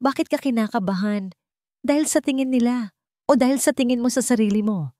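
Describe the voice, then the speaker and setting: A Filipino woman voicing a poised, guarded, mysterious hired companion in a romance audio drama, calm, measured and firm. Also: a little high, no echo, studio quality, voice pushed up, natural voice. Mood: calm, reassuring. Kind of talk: romance radio-drama storytelling.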